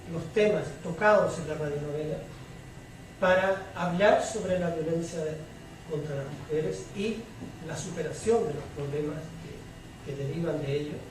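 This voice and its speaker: A man moderating a public panel in a semi-formal, measured way, with deliberate, halting phrasing. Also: high voice. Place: public debate room with audience. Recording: very echoey hall, distant mic, clear noise.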